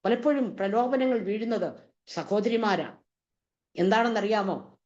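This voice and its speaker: A woman's voice: medium pitch, expressive, unhurried, a little mellow. A woman preaching a fervent sermon, driving home her points with repeated rhetorical questions. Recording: dull lo-fi recording.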